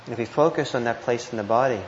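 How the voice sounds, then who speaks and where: A man teaching meditation, giving guided instructions with gentle, hesitant phrasing and pauses. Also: mid-range voice. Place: indoor meditation hall. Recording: muffled, roomy live talk with noise.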